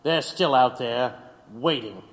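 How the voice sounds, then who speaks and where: A man portrays a zealous government interrogator in a political radio drama. The male voice sits at medium pitch, grim and accusing without any humour, with long reverb trailing it. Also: slow pace, lightly acted.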